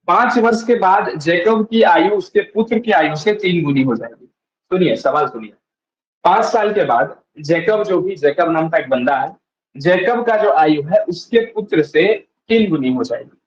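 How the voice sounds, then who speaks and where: A man with a high voice teaches maths, walking through each step by asking a question and answering it himself. He says the key points more than once. The sound is dull and lo-fi, with an echo from the room. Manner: asks and answers his own questions, repeats steps. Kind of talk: classroom maths lesson.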